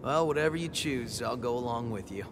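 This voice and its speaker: An actor playing an easygoing, loyal comrade in a video game, relaxed and supportive. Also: male voice, medium pitch, brisk, in a large hall, background noise, lightly acted.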